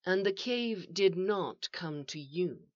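Woman acting as eerie male stranger, soft, low, measured, patient, polite, storybook narration, slightly dull echoey recording. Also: exaggerated voice. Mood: soft, reasoning. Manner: soft, slow, even and reasonable.

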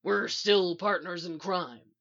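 A woman reading as a blunt, gruff male criminal partner in a story. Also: mid-range voice, takes it slowly, fairly bright tone, muffled recording. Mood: reassuring.

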